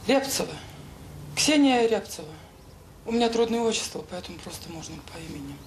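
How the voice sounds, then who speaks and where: An actress playing a reserved, eager job seeker at a children's home in a radio drama, speaking tersely and earnestly. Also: female voice, medium pitch, lively intonation, fairly quick, close to an everyday voice. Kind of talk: naturalistic radio drama acting. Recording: noisy old radio play recording.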